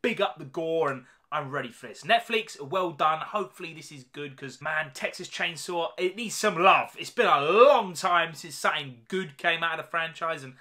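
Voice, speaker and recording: British bloke doing a trailer reaction at home, high bright voice, loads of slang, hyped. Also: male voice, normal speed, few pauses. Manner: excitable, slangy, addresses viewers directly. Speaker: YouTube reaction host. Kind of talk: casual trailer reaction chat. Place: small home room full of collectibles.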